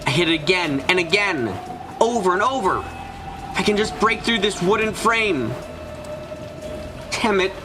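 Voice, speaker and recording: A man's voice: sits high, animated, unhurried, long pauses, background noise. A man voicing an anxious, frightened narrator trapped and desperate, in a first-person visual novel fan dub. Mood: desperate, frantic. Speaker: voice actor narrating.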